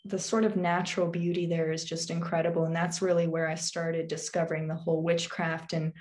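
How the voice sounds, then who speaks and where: A teenage woman on a video call, answering interview questions thoughtfully with many pauses and ums. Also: lowish voice, flat delivery, a little mellow. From England, also Turkey, Azerbaijan and Alaska. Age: teens. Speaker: guest, witchcraft YouTuber. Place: small bedroom. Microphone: video call webcam.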